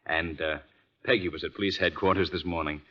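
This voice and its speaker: An actor playing an assured, urbane gentleman sleuth in a polished 1940s radio drama style. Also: low voice, steady tone, brisk. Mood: assured, pleased. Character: suave, assured gentleman amateur sleuth, adult man. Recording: muffled vintage 1940s radio recording.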